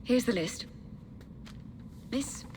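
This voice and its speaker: A woman playing a brisk, grave Victorian nurse in a game cutscene, formal and no-nonsense. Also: darkish tone. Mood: urgent. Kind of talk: video game cutscene acting. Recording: clear ambient noise behind.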